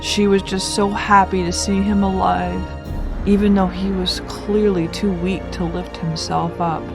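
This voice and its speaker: A woman narrating a children's folktale as a read-aloud, with expressive, deliberate delivery. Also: medium pitch, vocal fry, music in the background. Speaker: storyteller.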